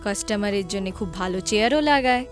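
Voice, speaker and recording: A woman's voice: mid-range voice, a little fast, faint music behind. A woman giving scripted storybook narration for a cartoon.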